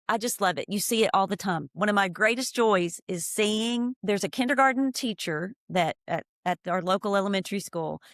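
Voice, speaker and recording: A woman's voice: mid-range voice, dry recording, clean studio sound. A woman from East Tennessee, an ESL teacher, talking earnestly and with emphasis in an interview. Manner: earnest, animated, emphatic when making a point. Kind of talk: conversational interview.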